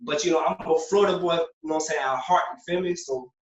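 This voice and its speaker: A man's voice: a little high, fast talker. A young man from Puerto Rico, a former prisoner, telling his story as a guest in a loose, streetwise way, filling his talk with "you know what I'm saying". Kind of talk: casual interview storytelling. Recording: roomy, distant, dull lo-fi sound.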